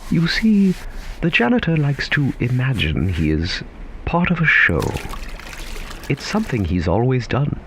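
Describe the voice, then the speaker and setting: Casting a theatrical, whimsical storyteller man, extremely expressive melody, deliberate emphasis, radio drama narration over noticeable ambience. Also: medium pitch, slight background noise, heavily acted. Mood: whimsical, knowing.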